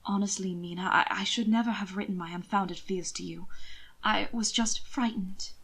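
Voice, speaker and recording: Woman voicing an anxious, halting young nobleman. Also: mid-range voice, fairly even intonation, fairly bright tone, slightly rough. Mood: troubled. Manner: halting, uncertain. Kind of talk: audiobook character dialogue.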